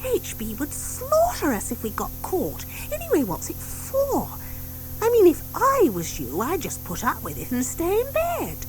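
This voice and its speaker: Woman as a blunt, shrill schoolgirl witch. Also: wildly swooping pitch, measured pace, faint music behind, exaggerated voice, rough recording. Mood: exasperated. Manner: blunt, indignant, emphatic. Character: schoolgirl witch Maud, blunt, exasperated, sensible friend.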